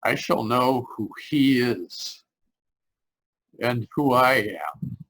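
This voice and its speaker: A man acting a distraught traveler in an old-time radio thriller. He speaks slowly, at a medium pitch, with halting, dramatic phrasing, haunted and barely holding himself together. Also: animated, a bit bright, a little hiss or hum, full character voice, low-quality recording. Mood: haunted, brooding. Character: distraught male traveler, shaken, haunted, near breaking.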